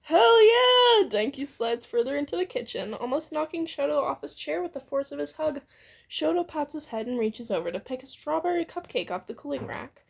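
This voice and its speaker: Young woman w a high crackly voice reading a fic out loud, playful, acts out the lines. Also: big pitch swings, moderate pace, gravelly. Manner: playful, acts out dialogue with exclamations. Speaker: amateur fan fiction reader and co-host. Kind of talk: casual, animated read-aloud of fan fiction with banter.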